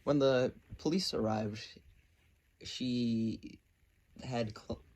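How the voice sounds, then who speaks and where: A man presenting a scripted question-and-answer narration, reading aloud a little haltingly. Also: medium pitch, a little mellow. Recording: noisy amateur recording.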